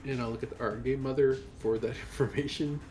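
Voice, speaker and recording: A man's voice: mid-range voice, steady tone, fairly quick, a little mellow. A man taking part in a tabletop roleplaying game, talking casually and in character. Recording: music playing underneath.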